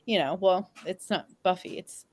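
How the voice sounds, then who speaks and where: A woman panelist chatting casually in a halting, informal way with many filler words. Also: on the low side, a little mellow, no echo.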